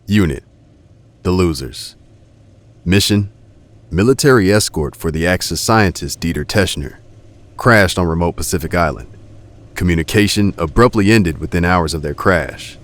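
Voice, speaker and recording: Man acting a deep-voiced stern briefing officer. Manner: clipped, formal. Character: stern military officer reading out a mission briefing.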